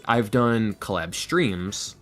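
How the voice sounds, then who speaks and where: Male podcast guest, fairly low voice, chatty anecdotal delivery with acted-out quotes, light guitar music behind. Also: slow pace, a bit bright. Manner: chatty, informal, acts out quotes. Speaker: podcast guest, voice actor and ASMR creator. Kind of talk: casual chat, storytelling.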